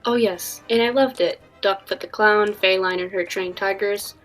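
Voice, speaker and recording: A woman's voice: medium pitch, fairly even intonation, normal speed, faint music behind, close to an everyday voice, rough recording. Woman as a cheery 1940s radio sweetheart. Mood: enthusiastic.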